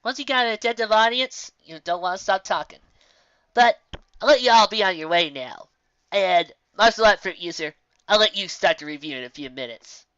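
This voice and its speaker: A woman's voice: mid-range voice, animated, normal speed, a bit bright, dry recording, highs cut off, natural voice. A woman voicing a chatty old country woman from a storybook, folksy and rambling. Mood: warm, rambling. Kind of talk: storybook character voice.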